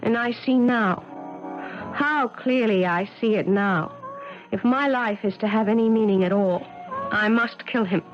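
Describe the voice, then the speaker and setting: A woman's voice: on the high side, unhurried, natural voice. An American actress playing an embittered, wronged woman scientist in an intense, theatrical 1970s radio drama style. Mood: eerily calm. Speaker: actor in a radio mystery drama. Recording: dull 1970s radio broadcast, music behind.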